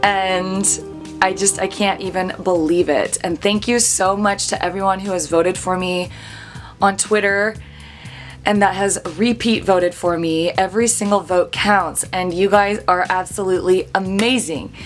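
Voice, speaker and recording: A woman's voice: medium pitch, steady tone, a little slow, soft background music. A woman vlogger chatting casually to her viewers in an upbeat, gushing manner. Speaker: vlog host and aspiring singer. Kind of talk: casual chat to camera.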